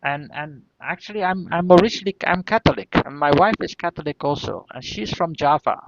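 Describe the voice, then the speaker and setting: A man's voice: on the high side, a little fast, no room sound. A man from northern Belgium with a Flemish accent and native Flemish Dutch, answering seminar questions as an academic, hesitantly, repeating words and posing rhetorical questions. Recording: dull lo-fi audio.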